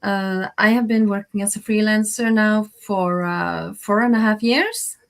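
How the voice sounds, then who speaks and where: A Norwegian woman, a freelance translator, speaking as a guest on a video call, hesitant with many fillers. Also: medium pitch. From Norway. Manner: slow, hesitant, many "uh"s, laughs easily. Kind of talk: interview answers.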